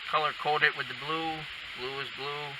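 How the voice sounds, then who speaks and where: Guy doing a diy how-to, folksy, thinking out loud, kinda far from the mic with lots of hiss. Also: on the high side, fairly even intonation, moderate pace. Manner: informal, folksy, thinking aloud while working. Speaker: DIY installer demonstrating. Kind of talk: casual hands-on tutorial talk. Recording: hissy, noisy, distant camera mic.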